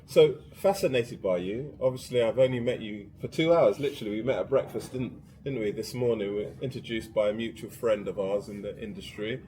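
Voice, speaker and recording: A male podcast host leads a casual interview with short, friendly prompts. His voice is mellow and dark in tone. Faint background noise sits under it. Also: fairly low pitch, fairly quick, hardly any pauses.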